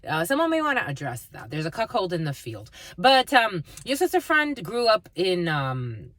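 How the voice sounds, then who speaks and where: A woman's voice: lowish voice, wildly swooping pitch, normal speed, a bit bright, dry recording. A woman from the northeastern United States giving a casual live tarot reading, rambling with many fillers and talking fondly to her viewers. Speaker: tarot and energy reader.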